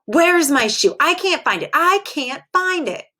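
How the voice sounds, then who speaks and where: A small, upset boy giraffe from a children's storybook, acted out by a woman reader. The voice is high, whiny and insistent, that of a stubborn child. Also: animated, unhurried, sharp tone, exaggerated voice.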